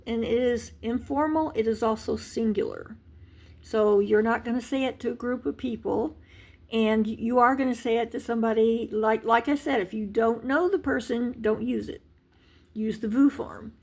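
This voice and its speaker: A woman with a slightly high, gravelly voice teaching a relaxed language lesson to a camera. She talks in a chatty, wandering way, with frequent ums and restarts. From United States. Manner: chatty, rambling, many fillers and restarts. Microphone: handheld device camera.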